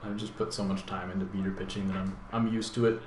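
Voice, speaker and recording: A man with a deep voice hosting a gaming channel, thinking aloud in a laid-back, rambling way with plenty of fillers while he plays. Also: little pitch movement, fast talker, crowd noise. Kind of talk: casual live gameplay commentary. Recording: echoey room with background noise.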